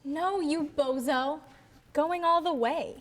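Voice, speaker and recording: Woman doing a sweet popular teen girl, high voice, bit anxious, onstage musical, echoey hall. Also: takes it slowly, a bit bright. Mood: teasing, devoted. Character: popular, anxious, affectionate teenage schoolgirl fish. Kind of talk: staged musical theatre acting. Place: live staged reading before an audience. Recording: echoey live stage hall, music behind.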